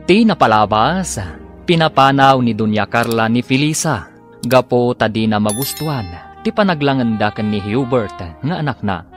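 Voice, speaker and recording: A man's voice: mid-range voice, lively intonation, moderate pace, faint music behind. A man with an Ilocano accent giving dramatic scripted narration as a radio drama announcer.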